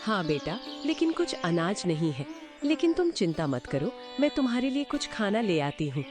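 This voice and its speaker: A woman's voice: mid-range voice, sing-song, moderate pace, natural voice. A woman voicing an anxious young village wife and mother in a cartoon story, speaking in a pleading tone over music. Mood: worried. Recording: loud music underneath.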